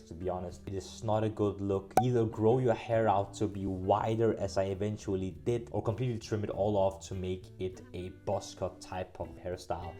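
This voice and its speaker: A man's voice: lowish voice, mellow. A man, frankly critiquing himself and giving direct advice to viewers in a casual vlog. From Denmark. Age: 20s. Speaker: style vlogger. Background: faint guitar music.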